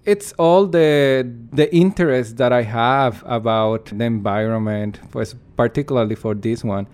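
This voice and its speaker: A man's voice: mid-range voice, unhurried, a little mellow, smooth. A man, a performance artist, giving earnest and reflective interview answers while searching for his words.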